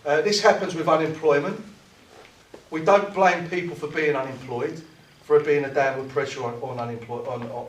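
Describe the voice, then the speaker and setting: A man's voice: mid-range voice, a little hiss or hum. Impassioned man speaking in a roomy hall. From South East London. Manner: impassioned, restarts and repeats words. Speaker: trade union activist speaker. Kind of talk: political speech at a meeting. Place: meeting room. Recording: roomy hall, mic at a distance.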